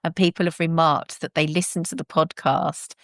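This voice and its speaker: A woman podcast host with a warm, relaxing voice, talking in a thoughtful, conversational way. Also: low voice, steady tone, moderate pace, no echo, studio quality.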